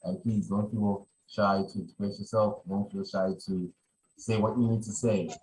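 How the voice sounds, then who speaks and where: Guy hosting a casual podcast, low voice, rambles and repeats himself, noisy background. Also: flat delivery, normal speed, a little mellow. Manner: rambling, repeats phrases, many "you know". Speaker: podcast host. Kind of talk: casual conversational podcast chat.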